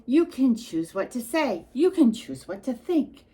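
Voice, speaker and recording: A woman reading a storybook aloud to young children, expressive and sing-song, with chatty asides addressed straight to the listeners. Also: a little high, unhurried, breathy edge.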